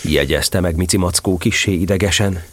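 A man narrating a children's story expressively, with a husky, breathy voice. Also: low-pitched.

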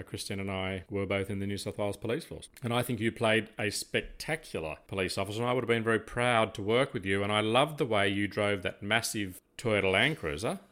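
An older Australian man with a fairly low voice speaks in casual podcast conversation. His phrasing is wordy and deliberate, with plenty of qualifiers as he builds each point. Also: fairly bright tone. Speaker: podcast co-host, former police officer.

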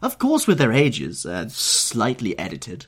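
A man voicing an elderly, gushing and bossy society hostess in an audiobook, with a husky voice. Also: medium pitch, huge pitch swings, unhurried, pitched above the performer's own voice, heavily acted.

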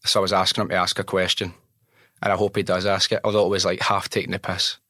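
A man's voice: low voice, level tone, fast, sharp tone, dry recording, clean studio sound. A man with a Scottish accent hosting a podcast, moving between scripted introductions and casual chat. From Scotland. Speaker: podcast host.

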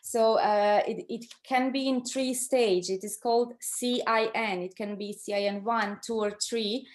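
A middle-aged woman from Croatia with a Croatian accent tells a personal story as an interview guest, conversationally, pausing to search for words in her second language. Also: on the high side, steady tone. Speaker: interview guest recounting her own experience.

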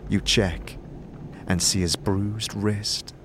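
A man giving dramatic scripted narration for a dark fantasy audio drama. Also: lowish voice, fairly even intonation, slow, background noise. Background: music.

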